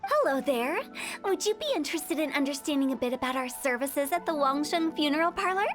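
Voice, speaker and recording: An actress playing a cheeky, morbidly upbeat young funeral director in a video game, with breezy, theatrical sales patter. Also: female voice, sits high, animated, a little fast. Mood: salesy, chipper.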